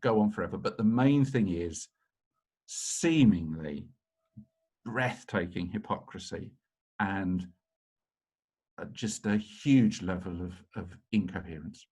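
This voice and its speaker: A man giving halting, deliberate remarks at an online meeting. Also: low voice, darkish tone, husky. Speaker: meeting speaker.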